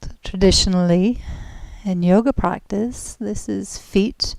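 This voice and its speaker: Female meditation guide: mellow, breathy-soft, soothing, very slow delivery with long pauses. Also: mid-range voice, dry recording. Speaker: guided relaxation and yoga instructor. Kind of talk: guided relaxation, meditative instruction.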